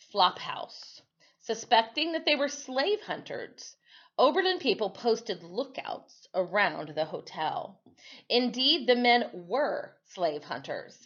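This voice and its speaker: Expressive woman reading a story aloud. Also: medium pitch, dull audio. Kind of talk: read-aloud of a history story.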